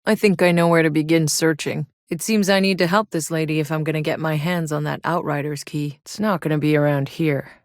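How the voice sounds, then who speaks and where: A woman's voice: low voice, steady tone, fairly quick, few pauses, no room sound, clean studio sound. An actress playing a tough, sardonic female soldier in a sci-fi shooter game, with a wry, blunt delivery. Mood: focused, businesslike. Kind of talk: video game voice lines, naturalistic acting.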